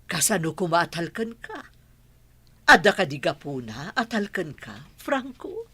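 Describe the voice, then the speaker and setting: A stern Ilocano mother who runs the family business, acted by a woman. Her voice is on the low side and lively, with slow, weighty delivery suited to radio drama. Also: slightly rough, dry recording, exaggerated voice. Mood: stern, scolding. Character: middle-aged business-owning mother, stern with her wayward son.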